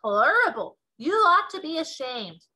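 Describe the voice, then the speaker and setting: Woman playing kindly male giant, storybook hero, earnest, dignified, emphatic, high bright voice. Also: big pitch swings, unhurried, full character voice, low-quality recording. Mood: indignant. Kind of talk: storybook read-aloud.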